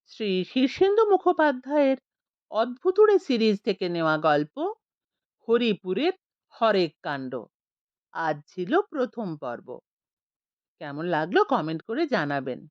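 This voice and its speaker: Expressive woman audiobook narrator. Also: mid-range voice, dry recording, highs cut off. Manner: expressive, measured. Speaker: storyteller.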